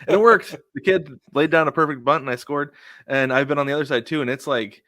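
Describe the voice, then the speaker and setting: A man's voice: fairly high pitch, fast, a bit bright, dry recording. A man co-hosting a sports podcast, telling casual stories and acting out what people said. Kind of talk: casual baseball chat with anecdotes.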